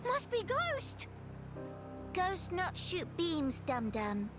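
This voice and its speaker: A very high, squeaky little game creature, excitable and blunt. It speaks in broken childlike sentences, drawn out slowly with swooping pitch, over music. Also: female voice, heavily acted. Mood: excited, cheeky. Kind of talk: video game voice acting.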